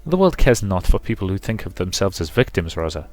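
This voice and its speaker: Man playing a stern, low-voiced aloof nobleman. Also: big pitch swings, a little mellow, no echo, faint music behind. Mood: severe. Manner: formal, measured, blunt. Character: stern, aloof nobleman, strict matter-of-fact teacher.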